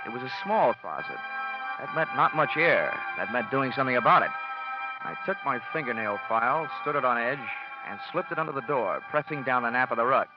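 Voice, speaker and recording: An actor playing a wisecracking, cynical insurance investigator in a mid-century American radio detective drama. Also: male voice, medium pitch, lively intonation, brisk, close to an everyday voice. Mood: wry, hardboiled. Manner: wry, cynical, slangy. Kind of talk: 1940s-50s radio detective acting. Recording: dull vintage radio recording, music behind.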